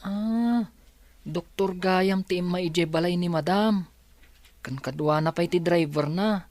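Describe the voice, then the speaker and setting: A woman's voice: fairly low pitch, slow pace, darkish tone, no echo. Woman playing a worried young helper, dry close sound. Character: worried young helper attending a sick madam.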